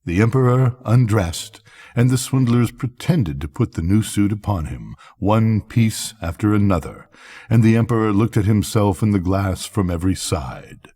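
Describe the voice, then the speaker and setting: An older man reads a bedtime story in a low, husky voice. His narration is animated, with pitch that rises and falls widely, in the manner of a grandfather telling a tale. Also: moderate pace.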